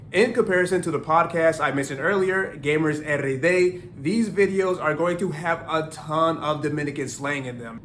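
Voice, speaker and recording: A man's voice: a little high, a bit bright, a little hiss or hum. A young man teaching Spanish learning resources in a scripted tutorial, friendly and explanatory, slipping Spanish phrases into his English. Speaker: language-learning YouTuber.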